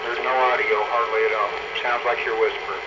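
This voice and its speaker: A man's voice: on the low side, flat delivery, brisk. A man chatting casually as a CB radio operator, heard over a radio transmission. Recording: dull, very noisy CB radio audio.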